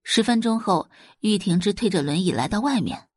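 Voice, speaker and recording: Expressive woman narrator, animated pitch. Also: normal speed, husky, no echo, studio quality. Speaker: audiobook narrator. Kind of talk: scripted novel narration, dramatic audio fiction. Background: faint background music.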